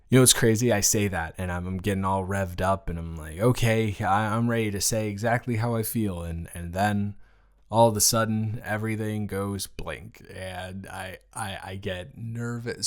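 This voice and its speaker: A man playing a smitten, playfully dramatic boyfriend in an improvised romantic audio roleplay, teasing and repeating his words haltingly. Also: fairly low pitch, close to an everyday voice. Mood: shy, tender.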